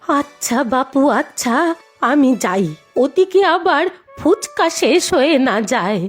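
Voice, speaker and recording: A kindly old cartoon grandmother played by a woman speaks slowly and affectionately in a high voice. Her intonation rises and falls in big, lively curves, drawing out each exclamation. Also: faint music behind. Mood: chiding, coaxing. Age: older. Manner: gentle, affectionate, drawn-out exclaiming. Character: kindly, devout old grandmother who loves snacks. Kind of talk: cartoon voice acting.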